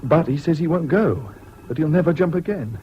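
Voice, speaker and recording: An actor playing a mild, concerned English army officer, polite and gentle, in a 1970s British radio drama. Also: male voice, fairly high pitch, animated, moderate pace, close to an everyday voice. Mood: worried. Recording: dull, noisy old radio recording.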